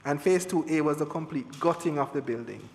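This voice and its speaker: A man, an official explaining things to a committee in a halting way, repeating words, in a reverberant space. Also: a little high, gravelly. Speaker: government official. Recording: reverberant hall.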